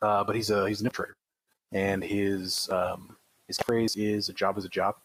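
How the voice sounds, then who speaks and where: Husky, hesitant man, low and flat. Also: normal speed. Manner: hesitant, searching for words. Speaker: player in a tabletop game. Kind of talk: casual character introduction.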